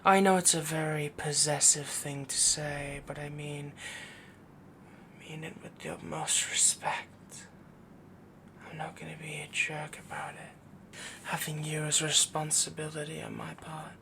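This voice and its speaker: A possessive, lovestruck werewolf boyfriend, acted by a man for intimate roleplay audio. He murmurs slowly and evenly in a soft, breathy whisper that breaks into gravelly fry. He sounds dominant yet adoring, with clear noise behind the voice. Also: a little high, level tone. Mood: devoted, possessive.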